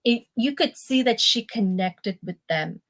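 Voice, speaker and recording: A woman's voice: a little high, animated, measured pace, fairly bright tone. A woman from Peru who was raised in Canada, a storyteller who talks in a conversational, stop-start, self-deprecating way as a guest. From born in Peru, raised in Canada. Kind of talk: casual conversation.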